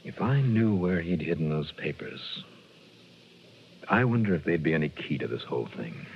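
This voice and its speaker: A man's voice: very deep voice, sing-song, moderate pace, slight background noise, heavily acted. An American man playing a shrewd, cool private investigator in a radio drama, clipped and wry as he narrates and questions. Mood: casual, probing. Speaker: lead actor and narrator. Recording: dull vintage radio recording, faint music.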